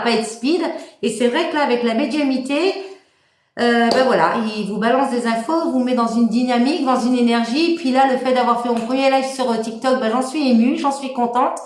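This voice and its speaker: Woman, high voice, live-stream host, rambling and warm, echoey room. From France. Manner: warm, rambling, many fillers and restarts. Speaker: medium hosting a live stream. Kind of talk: casual live-stream chat.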